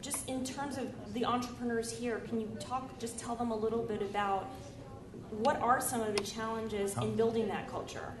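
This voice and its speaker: A woman moderates a conference panel, engaged and thoughtful as she works through her questions. Her voice is fairly high, and the room is echoey, with an audience clearly heard in the background. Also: fairly even intonation, normal speed, crowd noise. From United States. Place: conference stage in a large hall. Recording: echoey hall with audience noise.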